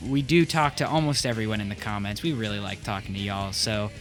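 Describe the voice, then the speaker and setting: A man's voice: lowish voice, fairly even intonation, fairly quick, loud music behind the voice. A young man hosting a video essay in a wry, casual scripted commentary style, with fillers. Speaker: host.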